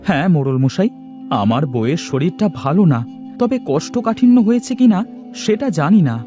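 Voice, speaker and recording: A man voices a humble young husband in a village cartoon, earnest and pleading. His mellow voice swings widely in pitch, with music behind it. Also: very animated, brisk. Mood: alarmed, pleading.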